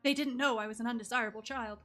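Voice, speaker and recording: Bright, high-voiced woman streaming a game. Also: steady tone, fast, faint music behind. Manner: giggly, reactive, teasing. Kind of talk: casual live game commentary.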